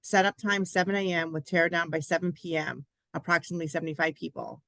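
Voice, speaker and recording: A woman on a committee reading municipal agenda items aloud in a steady, formal way. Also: lowish voice, fast talker, vocal fry, no room sound.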